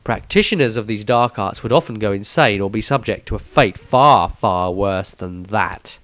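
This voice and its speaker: A man's voice: fairly low pitch, animated, moderate pace, a bit bright, dry recording. A man reading his own fantasy story as a scripted, dramatic audiobook narration.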